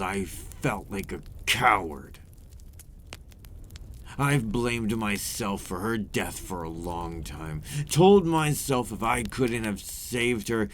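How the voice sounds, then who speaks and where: Man playing a very deep, brooding vampire. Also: fairly bright tone. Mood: bitter, grieving. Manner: measured, formal, confessional storytelling. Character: brooding, remorseful male vampire captor, centuries-weary. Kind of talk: intimate ASMR roleplay monologue.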